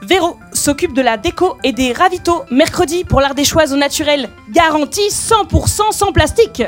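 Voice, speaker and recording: A woman's voice: high voice, normal speed, soft background music. A woman co-presenting a mock radio news bulletin with upbeat announcer delivery.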